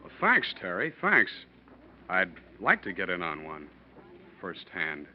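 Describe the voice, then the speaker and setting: A tense, guarded newspaperman, his lowish voice swinging widely in pitch. He talks in terse, halting phrases on a muffled old radio drama recording. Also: huge pitch swings, heavy background noise, exaggerated voice. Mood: intrigued, hesitant. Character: tense newspaperman, secret wife-poisoner paying blackmail.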